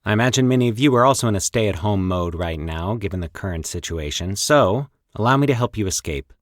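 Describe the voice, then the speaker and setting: Low-voiced man narrating with dramatic swings. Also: lively intonation, dry recording, studio quality. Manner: formal, deliberate, theatrical storytelling delivery. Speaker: host and narrator of a fiction podcast. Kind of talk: scripted horror story narration.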